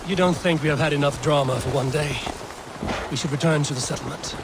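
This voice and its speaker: An actor playing a stern, steady Viking leader in a video game, terse, dry and commanding. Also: male voice, fairly high pitch, a little fast, natural voice. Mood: calm, practical. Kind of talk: video game dramatic acting. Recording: outdoor ambient noise, rain behind.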